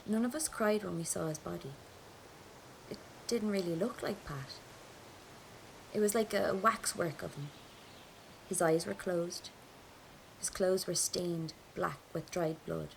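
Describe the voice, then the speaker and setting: Low, gravelly grieving Irish woman. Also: measured pace, pauses often, slight background noise, rough recording. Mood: restrained grief. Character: grieving, stubborn Irish woman from a 1920s rebel family. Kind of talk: first-person audio drama monologue.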